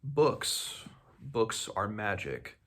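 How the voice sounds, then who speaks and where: A man reads as a learned doctor from a fantasy novel, low-pitched with a creaky edge. He explains things in a measured, bookish manner, leaning firmly on key words. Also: very animated, fairly bright tone, full character voice. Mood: earnest, teaching. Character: learned doctor mentor explaining books as magical traps. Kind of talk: fantasy novel read aloud.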